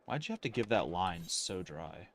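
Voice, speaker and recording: A man's voice: deep voice, sing-song, normal speed, vocal fry, a bit bright. A man giving casual, sardonic livestream commentary as a game streamer, grumbling along as he goes.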